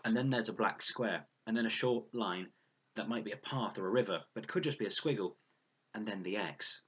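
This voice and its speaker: An earnest, guarded boy from a children's story, read by a man. He is brave yet quietly frightened, in a husky voice pitched up from the reader's low natural register. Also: moderate pace. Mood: reassuring, tense.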